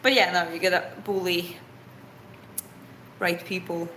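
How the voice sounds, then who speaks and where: A woman's voice: fairly low pitch, fairly even intonation, a little slow, pushed and bright, reverberant, a little hiss or hum, rough recording. Chatty woman co-hosting a live Q&A. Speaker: live stream co-host. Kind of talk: casual Q&A chat.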